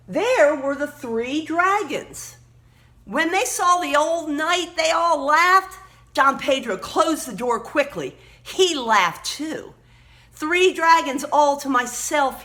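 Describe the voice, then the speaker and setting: A woman storyteller reads to children in a high, bright voice with very lively swings of pitch. She is emphatic and expressive, stepping out of the tale to point out words and pictures in a teacherly way. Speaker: read-aloud storyteller.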